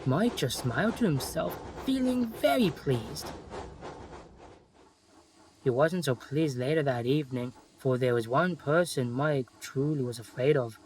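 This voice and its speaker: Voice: mid-range voice, expressive, a little slow, darkish tone, poor audio. A narrator reading a scripted children's story in storybook style.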